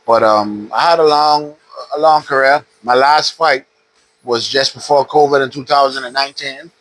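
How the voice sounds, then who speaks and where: A man in his fifties from the Bahamas, a retired boxer calmly telling his story in an interview at a boxing gym. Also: mid-range voice, moderate pace, dry recording, poor audio. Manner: relaxed, steady storytelling with frequent "uh". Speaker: retired pro boxer, guest. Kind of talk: casual interview.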